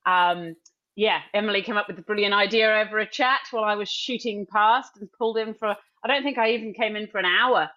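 A young Welsh woman co-hosts a podcast in a cheerful, easy way, laughing often as she talks. Her recording sounds a little muffled and lo-fi, and her pitch sits in the middle range. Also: steady tone, a little fast, pushed and bright. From Wales. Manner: upbeat, laughs easily. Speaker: podcast co-host. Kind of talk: casual podcast chat.

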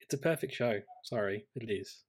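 A man of average pitch chats as co-host of a film podcast. His delivery is easygoing and conversational, like talk between friends. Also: expressive, brisk, breathy edge. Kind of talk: casual film podcast chat.

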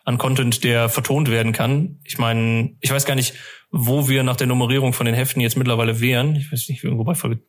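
A man with a mid-range voice hosts a casual fan podcast. He speaks colloquially, often hesitating and reworking his phrasing as he thinks out loud. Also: mid-range voice, steady tone, brisk.

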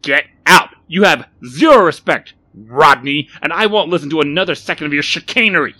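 Casting: touchy male shopkeeper for an audiobook, played by a man, pitched up, quick-tempered, blustery, swinging widely in pitch. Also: huge pitch swings, bright, forceful tone, heavily acted. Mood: angry, defensive. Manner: blustering, stammering when flustered. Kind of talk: audiobook character acting.

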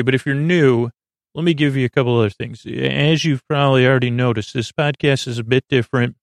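Creaky-voiced man, rambling bedtime podcast host. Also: medium pitch, dry recording, clean studio sound. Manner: meandering, halting, self-interrupting with tangents and stammers.

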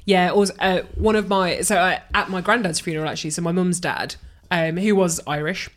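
A woman's voice: on the low side, a little fast. A young woman co-hosting a casual chat podcast, telling funny stories with frequent laughter. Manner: storytelling, giggly, animated asides. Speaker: podcast co-host. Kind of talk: casual pub-style chat.

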